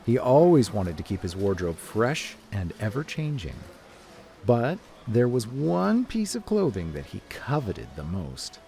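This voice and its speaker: A man's voice: medium pitch, big pitch swings, unhurried, mellow, a little hiss or hum. A man reading scripted story narration as the narrator of an audio drama. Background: music.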